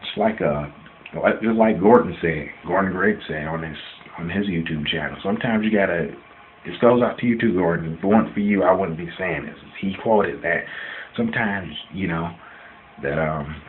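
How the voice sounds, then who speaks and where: A man's voice: deep voice, moderate pace. A man of twenty-nine in a casual personal video rant, speaking bluntly and defiantly and repeating himself for emphasis. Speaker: vlogger answering questions about himself. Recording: dull lo-fi sound with background noise.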